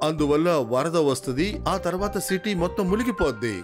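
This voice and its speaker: A man playing a serious scientist who explains gravely, in a Telugu cartoon dub. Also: a little high, lively intonation, a little fast, music in the background, natural voice. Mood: grave warning. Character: grave adult male scientist warning of disaster.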